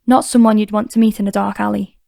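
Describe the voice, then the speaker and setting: Flat, creaky woman narrator. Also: medium pitch, fast, no echo, studio quality. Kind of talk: scripted audiobook narration.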